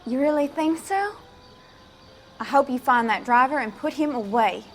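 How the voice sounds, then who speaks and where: An actress playing an eager, gossipy witness confiding to a detective in a 1940s game scene. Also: female voice, sits high, animated, moderate pace, a little hiss or hum.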